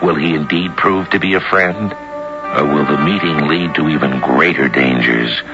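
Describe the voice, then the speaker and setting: A man's voice: rumbling low, unhurried. A man hosting a radio drama, delivering scripted dramatic narration and posing questions to the listeners. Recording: dull old radio broadcast, loud music behind. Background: loud music.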